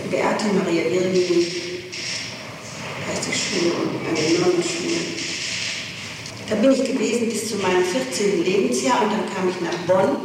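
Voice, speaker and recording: A woman's voice: lowish voice, normal speed, long pauses. Woman testifying in a very echoey courtroom. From Germany, Rhineland-Ruhr. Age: middle-aged. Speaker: defendant being questioned in court. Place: large reverberant courtroom. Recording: very echoey, distant, noisy archival courtroom tape.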